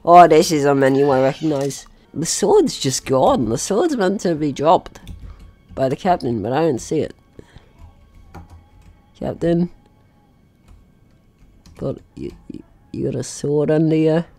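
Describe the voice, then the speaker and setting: A man's voice: a little high, expressive, measured pace, long pauses, darkish tone, soft background music. A young man giving casual let's play commentary as he plays a game. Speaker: gaming video host.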